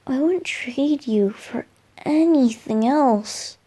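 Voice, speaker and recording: A woman voicing a gentle, lovestruck boyish male wind spirit in a romantic roleplay ASMR, speaking tenderly with soft murmurs and hesitant pauses. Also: sits high, slightly rough, full character voice. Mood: tender, adoring.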